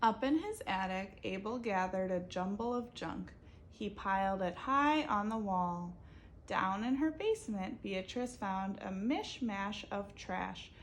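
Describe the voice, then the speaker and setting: Slow, lively woman reading a picture book. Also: medium pitch, a little hiss or hum. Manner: expressive, pauses to ask the listeners questions. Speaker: reader for children.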